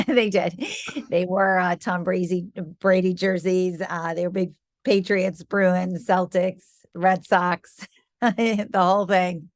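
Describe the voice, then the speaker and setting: A woman's voice: lowish voice, fairly even intonation, takes it slowly, no echo, studio quality. A woman in her fifties from the US Midwest, a podcast guest telling her story with easy laughs. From Michigan, US Midwest. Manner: warm storytelling with light laughs. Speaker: guest, company founder. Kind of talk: podcast interview.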